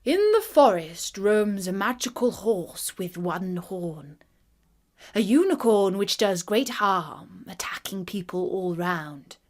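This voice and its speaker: A stately fairy-tale king, as a woman storyteller plays him. He speaks formally, in slow and measured phrases. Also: mid-range voice, lively intonation, darkish tone. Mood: solemn. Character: stately male king making a demand.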